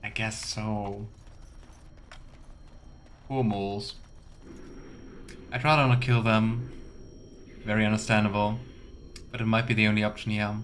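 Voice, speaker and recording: Guy doing a let's play, kinda low voice, musing and sarcastic, bit of game noise behind. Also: flat delivery, a little slow, pauses often, fairly bright tone. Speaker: game streamer. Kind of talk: casual let's play commentary, reading game text aloud.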